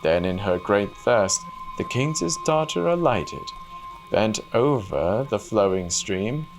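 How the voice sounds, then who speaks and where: A man telling a fairy tale as a dramatic scripted narration, measured and formal. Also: fairly low pitch, sing-song, low-quality recording. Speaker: storyteller. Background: soft music.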